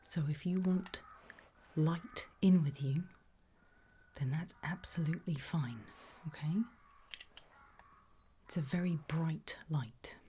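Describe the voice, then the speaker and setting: A British woman whispers slowly in a low, hushed voice, playing a calm gravedigger in an eerie ASMR roleplay. Her delivery is reassuring and matter-of-fact, with long pauses. Some noise sits behind the voice.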